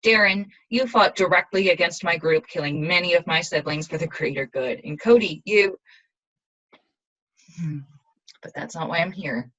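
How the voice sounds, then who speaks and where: A woman's voice: fairly low pitch, moderate pace, fairly bright tone, rough recording. A woman playing a resolute young rebel assassin from a dystopian future in an audio drama, speaking in an earnest, intense way. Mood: urgent.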